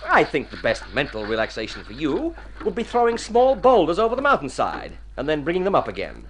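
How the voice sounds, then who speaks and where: An actor playing an eccentric, pompous doctor who hems and haws in a radio comedy. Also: male voice, a little high, wildly swooping pitch, brisk, a bit bright. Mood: pompous, distracted. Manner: hemming, pompous. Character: eccentric, hearty doctor prescribing odd rest cures. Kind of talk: mid-century radio comedy acting. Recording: old radio recording with background noise.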